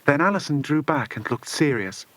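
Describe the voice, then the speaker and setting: A man's voice: on the high side, expressive, normal speed, fairly bright tone, no room sound. A man narrating a children's adventure story as an audiobook, in a dramatic scripted style.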